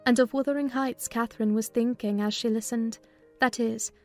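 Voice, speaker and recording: Composed servant storyteller, played by a woman, slightly high, level intonation, measured audiobook drama narration. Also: steady tone, faint music behind, lightly acted. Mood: gentle, reflective. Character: female housekeeper recounting events, composed and observant servant. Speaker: narrator in a dramatized novel reading. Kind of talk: scripted literary narration.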